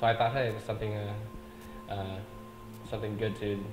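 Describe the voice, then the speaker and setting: Army officer man, low monotone, roomy recording with music underneath. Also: brisk. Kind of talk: documentary interview.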